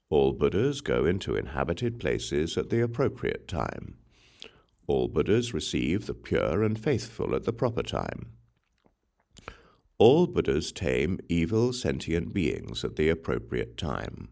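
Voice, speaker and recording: Very deep-voiced man reading scripture. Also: creaky, darkish tone. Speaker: audiobook narrator.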